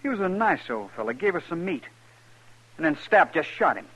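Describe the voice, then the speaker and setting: An actor playing a troubled, defensive young drifter in a 1950s radio western, halting and plain-spoken with a rural American drawl. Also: male voice, fairly high pitch, big pitch swings, a bit bright, poor audio. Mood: sombre, yielding.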